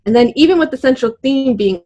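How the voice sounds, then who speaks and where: A woman's voice: sits high, expressive, fast, warm tone. A woman chatting casually and reading aloud to a group. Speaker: librarian reading book reviews aloud.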